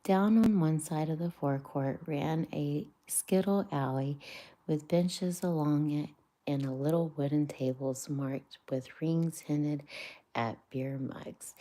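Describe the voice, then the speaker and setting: Woman, slow storybook reading, soft half-whisper, mellow low voice. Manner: unhurried, stumbles over words. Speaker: streamer reading aloud. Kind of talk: live-streamed storybook reading.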